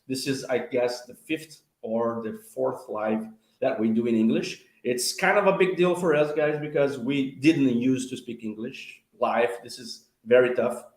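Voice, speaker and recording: A man's voice: mid-range voice, unhurried, few pauses, a little mellow, echoey room. A man, speaking English as a while hosting a casual live-stream chat, full of fillers and self-deprecating asides. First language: Portuguese. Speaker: host. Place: home room with headphones, video call.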